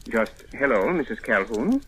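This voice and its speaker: An actor playing a stiff, formal British colonial police commissioner in an old radio drama, with a clipped, pompous manner. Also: male voice, mid-range voice, big pitch swings, moderate pace, fairly bright tone, breathy edge. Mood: dry, reproving. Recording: slightly noisy old radio recording.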